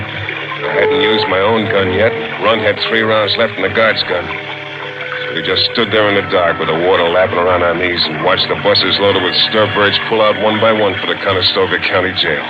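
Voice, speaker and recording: A man's voice: low-pitched, lightly acted. An actor playing a hard-boiled, bossy escaped convict in a 1950s radio crime drama, talking in clipped tough-guy slang. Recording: muffled old radio recording, heavy noise.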